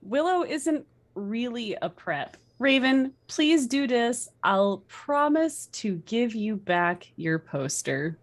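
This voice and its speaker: A woman playing a bratty, defiant teenage author, reading out notes in a halting, emphatic way. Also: on the high side, sing-song.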